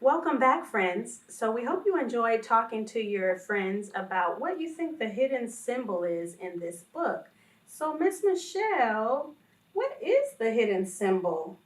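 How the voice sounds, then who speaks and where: A woman's voice: on the high side, sing-song, unhurried. A woman co-hosting a read-along for young children, reading a picture book aloud with expression. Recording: roomy, distant microphone, music behind.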